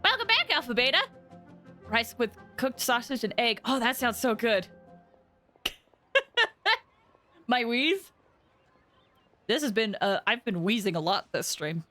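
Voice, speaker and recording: A woman streamer with a fairly high, bright voice and very lively pitch. She gives casual, excitable commentary full of slang, with game music playing faintly behind her. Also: measured pace, pauses often. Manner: excitable, giggly, gushing, slangy. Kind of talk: casual live stream commentary, reacting and reading along.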